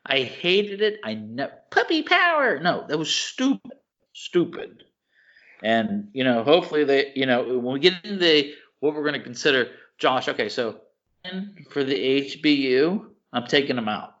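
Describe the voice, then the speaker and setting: A man co-hosting a podcast in casual chat, excitable and rambling, often restarting his sentences. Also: medium pitch, moderate pace. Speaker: podcast co-host. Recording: dull lo-fi podcast audio.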